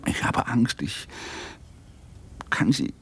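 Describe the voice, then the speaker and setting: An actor playing a troubled middle-aged married police detective in a German radio drama, gruff but candid. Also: male voice, takes it slowly, fairly bright tone, whispered. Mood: troubled, hushed.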